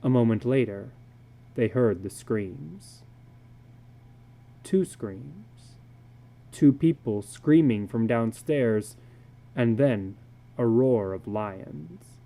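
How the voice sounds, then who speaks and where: Casting: man for literary narration, dark and soft-edged tone, unhurried, measured dramatic delivery. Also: medium pitch, expressive. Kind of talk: dramatic literary story reading.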